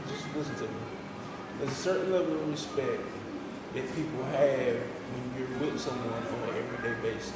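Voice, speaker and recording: Brief: deep-voiced male co-host, emphatic, insistent, recorded distant in a reverberant room. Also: steady tone, normal speed. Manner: emphatic, insistent, repeats himself when arguing a point. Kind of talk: casual discussion. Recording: echoey room, far from the mic, with loud background noise.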